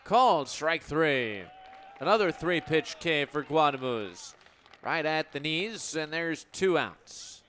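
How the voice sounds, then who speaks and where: Male radio baseball announcer, slightly high register, measured pace, clipped calls that build on big plays, faint ballpark ambience behind. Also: lively intonation, fairly bright tone, a little hiss or hum. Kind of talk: live sports play-by-play commentary.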